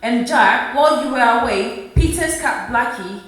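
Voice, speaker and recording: A woman acts a loving, careworn wife and mother in a 1950s-set radio play. Her voice is high and earnest, with a plaintive manner. It sounds distant in a very echoey room. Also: animated, normal speed, fairly bright tone. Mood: reproachful.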